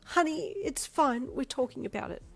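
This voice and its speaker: A woman voicing a tearful, soothing mother in an audio story, pitched well above her own voice. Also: expressive, normal speed, gravelly, fairly bright tone, exaggerated voice. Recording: faint music behind.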